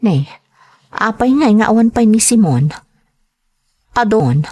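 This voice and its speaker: A woman's voice: on the high side, expressive, takes it slowly, a little mellow. A woman playing a caring, worried village mother and wife in an Ilocano radio drama, speaking expressively and with sympathy. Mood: worried, pitying. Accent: Filipino, Ilocano. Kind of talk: radio drama acting with narration.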